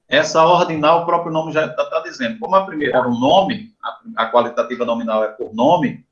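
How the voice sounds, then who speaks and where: A man with a Brazilian Portuguese accent, a professor giving an informal explanatory lecture to students, often pausing to check in with "né?" and "tá?". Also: fairly high pitch, fairly bright tone, highs cut off. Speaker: university professor.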